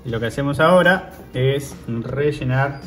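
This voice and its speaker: A man in his thirties with an Argentine accent, hosting a cooking show and calmly talking viewers through each step as he works. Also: mid-range voice, moderate pace, soft background music. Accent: Argentine Spanish. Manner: talks viewers through steps while working with his hands. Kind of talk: casual cooking demonstration. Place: outdoor grill patio.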